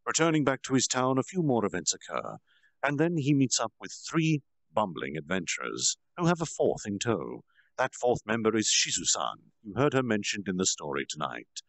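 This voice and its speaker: A man's voice: lowish voice, very animated, normal speed, fairly bright tone, no echo. A man casually recapping a fantasy novel as a storyteller, dramatic with asides and self-corrections. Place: virtual reality social space.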